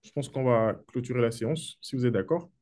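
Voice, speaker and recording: Male moderator for a webinar, mellow low-key voice, courteous and formal. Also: fairly quick. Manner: courteous and formal, addresses officials by title.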